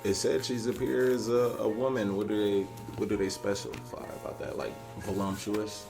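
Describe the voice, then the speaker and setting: A man's voice: fairly low pitch, level tone, normal speed, creaky, rough recording. A man co-hosting a reaction show with casual banter in slangy, colloquial speech. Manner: informal, slangy, colloquial grammar. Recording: loud music behind voice.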